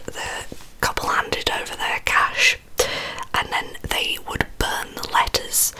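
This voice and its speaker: A woman's voice: sharp tone, low-quality recording. Whispering woman, ASMR storyteller. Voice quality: breathy, unvoiced whisper. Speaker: ASMR host. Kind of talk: whispered ASMR true-crime storytelling from a script. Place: small indoor bedroom. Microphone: large microphone close to the mouth.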